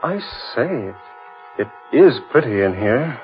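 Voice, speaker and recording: A man playing a breezy, scoffing gentleman in an old radio horror drama, with dry, clipped delivery. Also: mid-range voice, big pitch swings, takes it slowly. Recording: muffled old radio recording, music behind.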